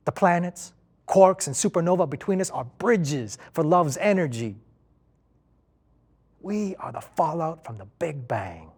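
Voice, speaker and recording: A man giving an expressive recitation of poetry as a performer. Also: mid-range voice, husky. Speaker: performer reading poetry aloud. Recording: music faintly behind the voice.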